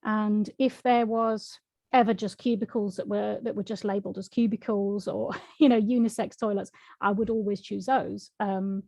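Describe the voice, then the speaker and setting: A woman's voice: medium pitch, fairly even intonation, moderate pace, vocal fry, darkish tone, no room sound. A woman, an author answering interview questions in a frank, reflective way, laughing now and then. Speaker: author and guest.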